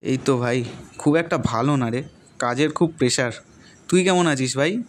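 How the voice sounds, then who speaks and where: A man's voice: mid-range voice, moderate pace, lightly acted. A man playing a young office worker in colloquial, questioning dialogue with an old friend in a dramatized story. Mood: friendly, weary. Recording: loud guitar music behind voice.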